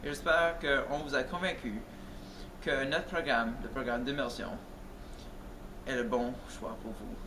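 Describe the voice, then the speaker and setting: A man reads a scripted narration in an earnest tone, speaking to parents. His voice sits at a middle pitch. A steady noisy ambience runs under the recording. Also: measured pace. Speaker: presenter of a school video.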